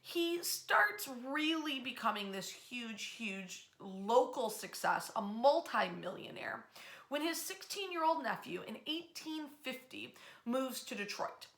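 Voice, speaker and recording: An American woman telling history stories in an informal, conversational way, with dramatic pauses and emphasis. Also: a little high, very animated, a bit bright. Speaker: history storyteller, host. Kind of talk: informal narrated history storytelling. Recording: echoey room sound.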